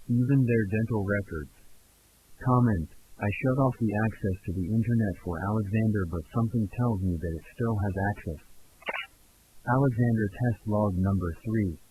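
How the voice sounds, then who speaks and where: A man's voice: lowish voice, close to an everyday voice. A man playing a male researcher who dictates formal test logs for an audio fiction in clipped, report-like phrases. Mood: uneasy, reporting. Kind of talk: scripted audio log, found-recording fiction. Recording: clear background hiss and hum.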